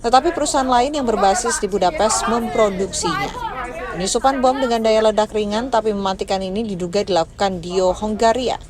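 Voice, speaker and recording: A woman reading a scripted news voice-over in a steady, formal way. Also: mid-range voice, a little fast, crowd noise. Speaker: news narrator.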